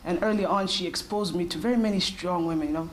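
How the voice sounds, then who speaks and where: A woman, thoughtfully answering interview questions in a measured way with short pauses. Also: fairly low pitch, low-quality recording. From Kenya. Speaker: interview guest.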